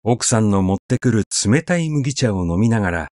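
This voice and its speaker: A man narrating a literary story in a measured, conversational, expressive way, as if telling it to someone. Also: lowish voice, no echo, clean studio sound.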